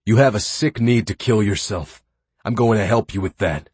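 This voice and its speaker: A man's voice: on the low side, moderate pace, a little mellow, husky, dry recording, voice pushed up. A man voicing an obsessive, violent young man who growls and spits threats. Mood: menacing.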